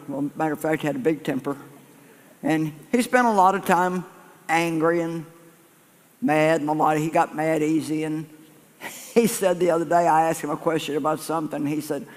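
An older man preaches to a packed church in a high, light voice, folksy and conversational. He restarts phrases and circles back to hammer a point home. His voice rings out across a long-reverb sanctuary. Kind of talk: sermon to a live congregation. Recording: echoey church hall.

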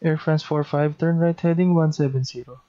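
A man's voice: on the high side, fairly even intonation, moderate pace, dark tone. A man with a Filipino accent speaking in the clipped, procedural style of an air traffic control demonstration, on a dull lo-fi recording.

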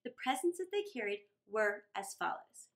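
A middle-aged woman reading a story aloud for children in a theatrical way, with deliberate phrasing and dramatic pauses. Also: medium pitch, sing-song, a bit bright. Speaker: children's storytime reader and host.

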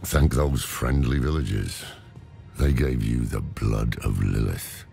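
A man playing a gruff, world-weary old hermit scholar with a dry wit, in a dramatic video game cutscene. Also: full character voice. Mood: grave. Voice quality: very deep, gravelly. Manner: slow, weighty, sardonic. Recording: loud music behind the voice.

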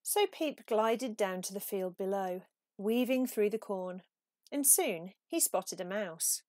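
A woman reading a children's picture book aloud as an expressive, animated storyteller. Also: medium pitch, slow pace, no echo, clean studio sound. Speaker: storybook reader.

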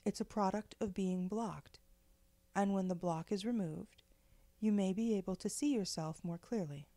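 A woman leading a guided meditation in a gentle, measured, soothing way, with pauses between phrases. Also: mid-range voice, no echo. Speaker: guided meditation leader, spiritual director.

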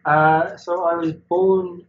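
A man's voice: sits high, flat delivery, takes it slowly, highs cut off. A man from Wales, chatting as a guest artist over a video call, rambling and hesitant with frequent laughs. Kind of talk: casual chat.

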